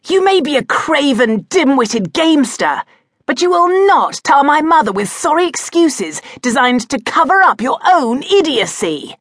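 A woman's voice: low voice, big pitch swings, normal speed, slightly rough, dry recording, studio quality, heavily acted. A woman voicing a proud young nobleman who speaks in a clipped, controlled way. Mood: angry. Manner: clipped and controlled, through clenched teeth.

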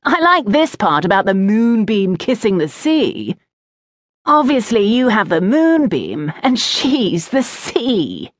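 Woman acting teenage schoolboy, brash, loud, gleefully mocking, lively swooping pitch, storybook character. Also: slow, no echo, studio quality, exaggerated voice. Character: rude, jeering, mocking fifteen-year-old schoolboy.

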